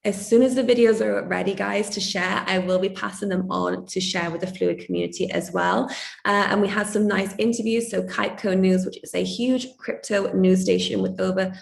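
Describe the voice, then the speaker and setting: Upbeat woman, croaky echoey voice. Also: mid-range voice, fairly even intonation, normal speed. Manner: upbeat, enthusiastic. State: slightly croaky voice. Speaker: community call moderator. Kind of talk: casual online community call.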